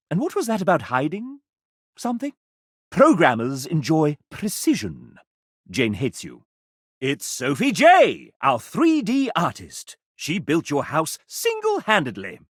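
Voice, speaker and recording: Flamboyant, sassy gentleman narrator, wildly swooping. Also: high voice, breathy edge, dry recording, clean studio sound, full character voice. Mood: arch, playful. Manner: flamboyant, arch, mock-genteel, sardonic. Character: sassy, theatrical gentleman game-show style narrator of a dating game. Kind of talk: theatrical scripted video game narration.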